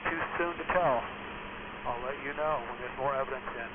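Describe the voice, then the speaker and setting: A man hosting a pirate shortwave broadcast, preaching in a live monologue with deliberate, repeated short phrases. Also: mid-range voice. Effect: shortwave AM radio. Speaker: pirate radio preacher and host. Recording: tinny AM shortwave radio, heavy static noise. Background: loud radio static and hiss.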